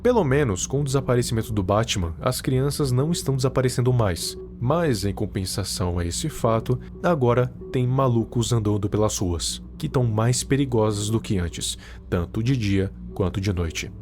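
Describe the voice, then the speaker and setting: A man's voice: mid-range voice, normal speed, hardly any pauses, darkish tone, soft background music. A man with a Brazilian accent giving scripted narration with casual, conversational asides. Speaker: video essay narrator.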